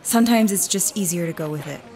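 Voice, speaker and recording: A woman's voice: on the low side, quick pace, a little mellow, faint music behind, close to an everyday voice. An actress playing a self-assured woman on a blind date in a radio drama, dry and matter-of-fact.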